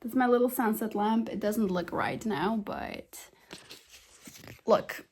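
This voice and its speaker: A young woman whose first language is Slovak talks brightly and chattily to the camera. She films a casual home vlog at arm's length on her phone in her living room. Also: fairly high pitch, slow, slight background noise. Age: 20s. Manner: chatty, upbeat, talking to camera. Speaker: vlogger and software engineer. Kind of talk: casual day-in-the-life vlog.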